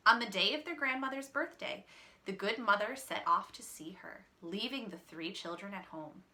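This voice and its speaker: A woman reading a picture book aloud as a teacher, expressive and explaining in simple words for children. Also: medium pitch, moderate pace.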